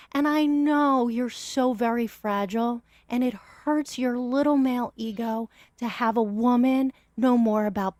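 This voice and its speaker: A woman on a live-stream panel delivering measured, cutting insults in a heated debate. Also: fairly high pitch, darkish tone, no echo.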